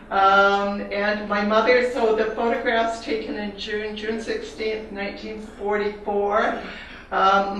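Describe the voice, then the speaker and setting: A woman's voice: medium pitch, fairly bright tone, slight background noise. Older canadian lady talking about her art, thoughtful, lots of ums, sounds far off in a big echoey hall. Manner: reflective, personal, pauses with fillers. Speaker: artist giving a talk. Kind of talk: slide talk to an audience. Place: large echoey room.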